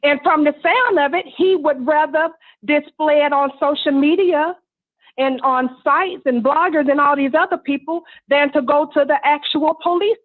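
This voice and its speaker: A woman with a high voice calling in over a muffled phone line. She speaks in a firm, slightly preachy way, laying out what the other person ought to do. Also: moderate pace. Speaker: caller. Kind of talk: casual phone-in chat.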